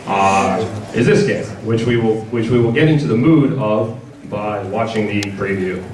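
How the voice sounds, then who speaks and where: Low-voiced man presenting in an echoey hall. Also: darkish tone, slight background noise. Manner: halting, hesitant delivery. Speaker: presenter for a visual novel publisher. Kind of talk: convention panel product presentation. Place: large indoor convention panel room. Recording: roomy, distant convention hall with audience. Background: audience crowd sounds.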